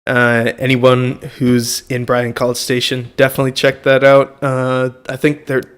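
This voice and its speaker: A young man hosting a casual podcast chat, naturally loud and quick to laugh. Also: mid-range voice, monotone, clear, resonant tone. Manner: naturally loud, laughing, plugs his comedy set. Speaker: podcast host.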